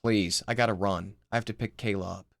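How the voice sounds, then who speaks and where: A man's voice: fairly low pitch, steady tone, fairly quick, creaky, no echo, studio quality, pitched below the performer's own voice. Man playing an exasperated, sarcastic teenage boy. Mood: dry, weary. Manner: sardonic, incredulous. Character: exasperated, sarcastic eighteen-year-old son.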